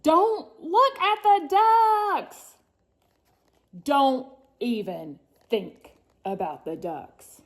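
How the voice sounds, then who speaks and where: A woman voicing an alarmed storybook camp kid who gives dire warnings in a drawn-out, emphatic way. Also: high voice, animated, pitched above the performer's own voice, heavily acted. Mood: urgent warning.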